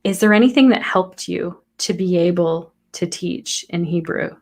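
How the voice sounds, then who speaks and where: A woman's voice: fairly low pitch, moderate pace, darkish tone. A woman hosting a yoga teacher podcast, speaking in an encouraging, reflective way and asking open questions. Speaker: podcast host. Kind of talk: casual interview chat.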